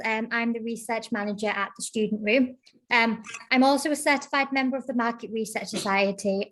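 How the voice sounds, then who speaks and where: A woman's voice: medium pitch, a bit bright, low-quality recording. A woman, a research manager, introducing herself on a webinar with frequent ums.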